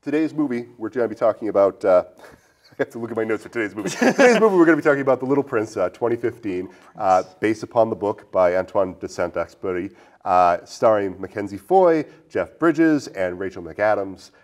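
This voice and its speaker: A man's voice: fairly low pitch, sing-song, brisk. A man hosting a casual movie discussion in a playful, bantering manner. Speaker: podcast host.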